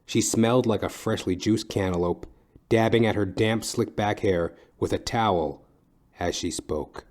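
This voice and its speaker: A man as a low, deadpan private eye. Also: lightly acted. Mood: world-weary. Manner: deadpan, measured, dramatic pauses. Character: down-at-heel, wry male hardboiled private eye narrator. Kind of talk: scripted noir detective narration, comic.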